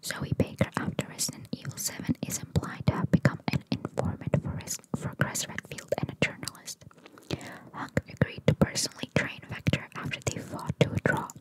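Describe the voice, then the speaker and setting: A woman's voice: brisk, low-quality recording. A woman whispering ASMR-style as she reads out trivia and adds informal, musing asides. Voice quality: soft, unvoiced whisper. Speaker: ASMR content creator. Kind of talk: whispered ASMR reading of trivia with casual commentary.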